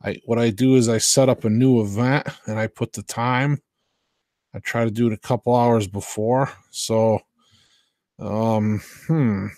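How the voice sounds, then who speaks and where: A man's voice: medium pitch, fairly even intonation, unhurried, a little mellow, no echo, studio quality. A man hosting a live stream, chatting casually with viewers in a hesitant, unpolished way, often trailing off. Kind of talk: casual rambling chat with viewers.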